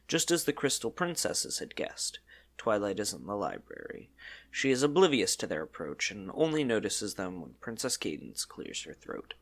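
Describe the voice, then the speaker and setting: A man's voice: lowish voice, very animated, normal speed, vocal fry. A man narrating a scripted story in the third person, in a storybook style.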